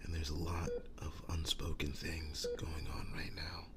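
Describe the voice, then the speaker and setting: Man acting a deep, whispery, slow-talking werewolf boyfriend. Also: level tone, very noisy. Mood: earnest, loving. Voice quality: breathy, whispery low voice. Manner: halting, earnest, soft-spoken with long pauses. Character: wounded young male werewolf boyfriend, tender and devoted. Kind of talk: ASMR boyfriend roleplay, intimate acting.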